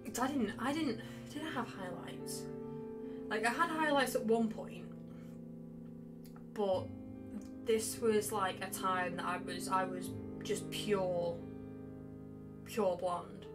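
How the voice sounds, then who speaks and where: A woman's voice: mid-range voice, slow pace, pauses often. A young woman streaming casually, rambling and sweary with a self-mocking streak. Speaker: game streamer. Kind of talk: casual chatty livestream. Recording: loud background music. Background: game music with guitar.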